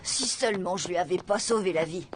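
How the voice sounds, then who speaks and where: A woman's voice: fairly low pitch, a little fast, noisy background, close to an everyday voice. A woman voicing a cocky, scheming young schoolboy in a French cartoon, breezy and self-assured. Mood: exasperated.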